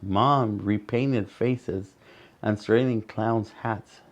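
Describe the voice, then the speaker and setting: A man reading a picture book aloud to children as a storyteller, with dramatic pauses and asides to his young listeners. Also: fairly low pitch, fairly even intonation, highs cut off.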